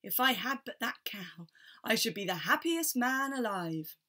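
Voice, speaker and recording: A woman's voice: a little high, very animated, unhurried, sharp tone, heavily acted. A woman voicing a foolish, eager man who trades everything away in a folktale. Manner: eager, wishful, easily delighted. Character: foolish, eager, gullible old-fashioned man.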